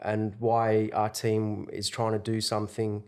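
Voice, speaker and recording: A man's voice: lowish voice, completely flat delivery, unhurried, a little mellow, clear, resonant tone. A middle-aged man who grew up in Tasmania, a podcast guest telling his story in an open, chatty way. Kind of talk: casual podcast chat.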